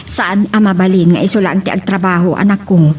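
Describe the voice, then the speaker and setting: A woman's voice: on the low side, steady tone, a little fast, a little mellow, slightly rough. A woman playing a caring, hardworking mother in an amateur Ilocano family drama. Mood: tender, reassuring. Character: caring hardworking mother seeking work abroad. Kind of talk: Ilocano radio-style family drama acting. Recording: distant mic, background noise.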